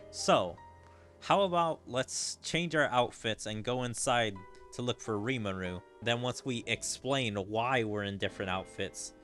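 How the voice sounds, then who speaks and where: A man with a fairly low voice talks through a game at a very unhurried pace. He sounds casual, thinking aloud as he goes, with game music clearly playing behind him. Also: a bit bright. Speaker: gaming streamer. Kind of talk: reading game story aloud with casual reaction commentary. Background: video game music.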